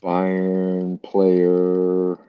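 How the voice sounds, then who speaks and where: A man's voice: deep voice, monotone, extremely slow, smooth, no echo, phone-quality sound. A man hosting a podcast in casual chat, loose and profane with lots of filler words. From United States. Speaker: podcast host.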